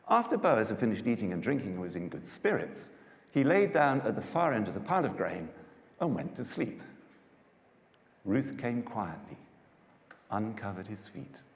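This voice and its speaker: A man narrating a dramatised Bible story with expressive storytelling delivery. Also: on the low side. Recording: echoey hall reverb.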